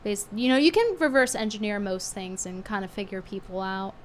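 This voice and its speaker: A woman's voice: medium pitch, a little fast, a little hiss or hum. A woman streamer chatting bluntly with viewers, her voice strained from a cold and hard to get out. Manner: blunt, scornful and chatty, reading tips aloud. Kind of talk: casual livestream chat.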